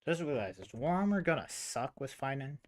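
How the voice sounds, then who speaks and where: A man's voice: medium pitch, very animated, brisk, darkish tone. A man doing casual live game commentary, informal and muttering to himself as he thinks aloud. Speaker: game streamer.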